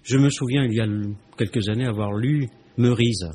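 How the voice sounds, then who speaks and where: A man hosting a live radio interview, talking in a relaxed, conversational way. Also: mid-range voice, moderate pace, a little hiss or hum. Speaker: radio host.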